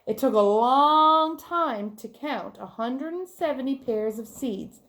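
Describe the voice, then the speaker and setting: A woman's voice: high-pitched, lively intonation, slow pace, fairly bright tone. A woman reading a children's story aloud like a teacher, expressively, with chatty asides to the listeners. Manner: clear storytelling with acted-out dialogue and questions to listeners.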